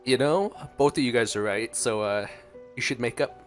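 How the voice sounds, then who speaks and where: A man's voice: mid-range voice, moderate pace. A man giving casual, jokey reaction commentary as a gaming streamer. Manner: jokey, playful. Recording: game music behind the voice.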